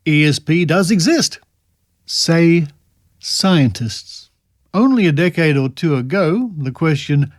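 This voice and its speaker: A man's voice: fairly high pitch, a little mellow, no room sound. Man presenting in a dry, close studio. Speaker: podcast host and presenter. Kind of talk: scripted narration mixed with reading old articles aloud and commentary.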